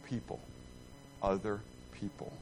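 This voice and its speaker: A man's voice: on the low side, a little slow, a little hiss or hum, rough recording. Rambling, self-mocking male Bible teacher. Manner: rambling, self-deprecating, animated.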